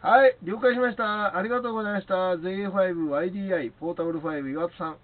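Quick, slightly high man on tinny radio. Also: a little hiss or hum. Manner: polite, procedural. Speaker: amateur radio operator. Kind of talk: ham radio exchange with spelled call signs. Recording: thin, band-limited radio audio.

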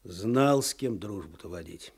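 An actor playing a weary, sardonic older provincial clerk in a radio drama, with a husky voice and folksy, wry speech. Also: male voice, lowish voice, lively intonation, a little slow, a little mellow, full character voice. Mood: sly, knowing. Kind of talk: Soviet radio drama acting.

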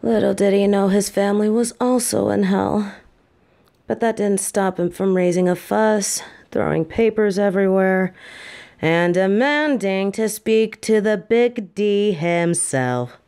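A woman's voice: mid-range voice, creaky, darkish tone. A woman playing a sweet weary demon girlfriend. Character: sweet tired female demon girlfriend, affectionate. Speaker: voice actor in an audio roleplay. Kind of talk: intimate audio roleplay.

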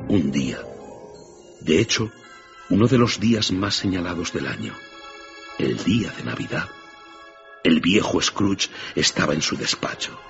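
A man narrating a radio play as a scripted, dramatic storyteller. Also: a bass voice. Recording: dull radio recording under music. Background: clear background music.

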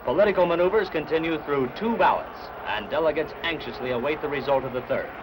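A man reading scripted 1960s newsreel narration in a measured, formal announcer style. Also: a little high, expressive, background noise. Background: background music.

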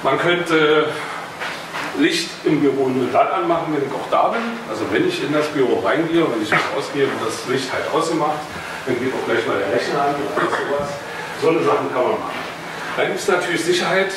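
A man's voice: on the high side, brisk. A man in his thirties with a Berlin accent gives a loose, chatty hobby presentation, standing and moving about in a meeting room. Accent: Berlin German. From Berlin, Germany. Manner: loose and chatty, with filler words and small jokes. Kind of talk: casual technical presentation. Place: meeting room with projector screen, indoors. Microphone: far camera across the room. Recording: very echoey room, far from the mic, noisy.

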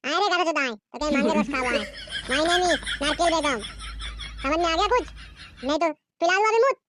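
A high-voiced performer playing furious scorned wives in a Bengali comedy cartoon, ranting and scolding in a shrill, pitched-up childlike voice. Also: expressive, fast talker, noisy background. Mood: taunting. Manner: scolding, foul-mouthed, ranting.

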